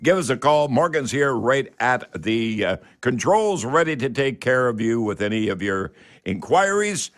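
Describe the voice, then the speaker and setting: A man hosting live call-in radio in a folksy, halting, rambling way. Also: medium pitch, big pitch swings. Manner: folksy, addresses listeners as folks; halting, rambling delivery with many hesitations. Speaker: radio host.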